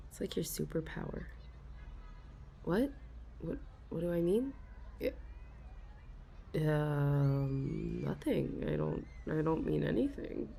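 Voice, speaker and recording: A woman with a low voice that has a gravelly, fry-laced edge speaks slowly as a bashful office worker in a confiding ASMR role-play. She often stammers and starts over, and soft giggles break up her talk. A faint hiss of noise sits behind her. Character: shy, fond female office worker.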